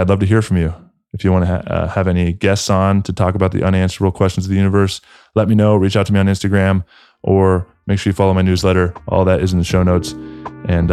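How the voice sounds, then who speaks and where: A man's voice: low voice, warm tone, clear, resonant tone, dry recording, studio quality. A man hosting a podcast, talking casually in an earnest, affectionate and laid-back way. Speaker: podcast host. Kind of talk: casual podcast chat and announcements.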